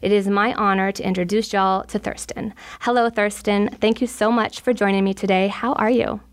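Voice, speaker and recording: A woman's voice: medium pitch, fairly even intonation, moderate pace. A woman hosting a podcast interview, eager and effusive, asking long rambling questions. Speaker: podcast host. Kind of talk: casual interview.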